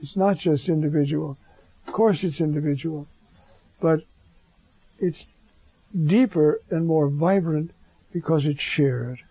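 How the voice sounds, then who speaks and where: A hoarse man giving a slow, reflective homily as a priest, halting often with fillers. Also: fairly high pitch, mellow, dry recording, lo-fi sound.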